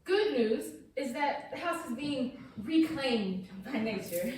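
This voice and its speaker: A woman's voice: sits high, animated, takes it slowly, a little mellow. A woman in a staged play reading, playing a young nature-loving peacenik, laid-back but teasing when provoked. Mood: mellow. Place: echoey indoor room, far mic. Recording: distant, echoey room with hiss.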